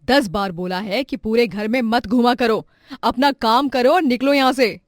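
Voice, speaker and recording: Woman voicing a scornful rich madam, sneering and harsh, a little high, dry close sound. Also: moderate pace, no echo, close to an everyday voice. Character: scornful rich middle-aged woman employer.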